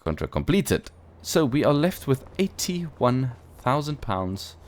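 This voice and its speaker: A man's voice: mid-range voice, very animated, slow pace. A man who is not a native English speaker gives casual gameplay commentary as a let's play host, thinking aloud with playful asides.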